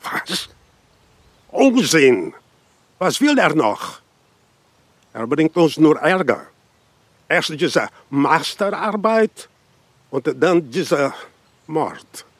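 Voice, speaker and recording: A man playing a stern, proud old Portuguese immigrant grandfather in a radio crime drama, curt and husky. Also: fairly high pitch, big pitch swings, drawn-out words, long pauses, dry recording, exaggerated voice. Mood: indignant. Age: older. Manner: curt, authoritative.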